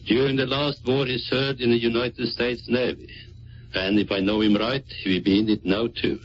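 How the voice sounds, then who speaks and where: Norwegian soldier on muffled 1940s radio. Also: male voice, mid-range voice, fairly even intonation, moderate pace, slight background noise. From Norway. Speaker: Norwegian Army serviceman. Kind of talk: scripted 1940s wartime radio address.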